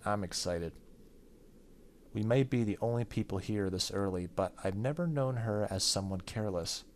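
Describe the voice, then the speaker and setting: A man with a fairly low voice hosts a let's play of a story game. He reads narration aloud and drops in casual, joking commentary as he goes. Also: normal speed, slight background noise. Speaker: gaming video host.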